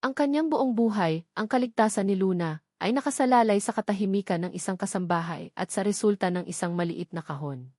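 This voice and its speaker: A woman's voice: medium pitch, a little fast, dark tone, dry recording, studio quality. A woman reading a scripted, dramatic audiobook story as its narrator.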